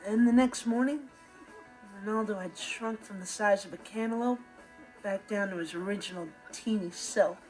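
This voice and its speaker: A young American man playing an earnest, excitable, gullible teenage boy in a sitcom, telling stories to the audience in an animated, emphatic way. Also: sits high, moderate pace, music in the background, natural voice, poor audio. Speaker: sitcom actor. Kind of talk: comedic monologue to the audience.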